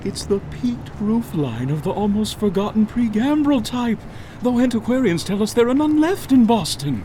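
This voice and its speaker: An actor playing a fussy, curious writer led by a spirit, making nervous, wondering asides in a radio drama. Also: male voice, high-pitched, sing-song, normal speed, mellow, slight background noise.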